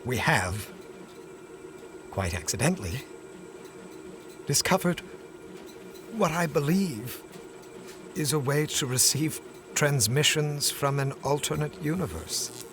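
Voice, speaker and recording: Obsessed scientist man in an audio drama, formal and oratorical, really animated pitch. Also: slow pace, long pauses, background noise. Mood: measured. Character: driven, obsessive male scientist, impatient and grandiose.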